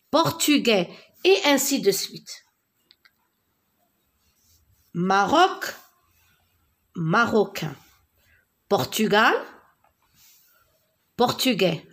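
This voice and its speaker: A woman's voice: mid-range voice, fairly bright tone. A woman with a Moroccan accent teaching a primary school French lesson, repeating words and spelling out letters one by one.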